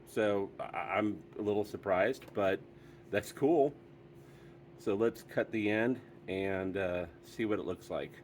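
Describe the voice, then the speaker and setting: A man talks through a product review in a low, unhurried voice, easygoing and chatty, with plenty of fillers. A faint hum of background noise sits under his speech.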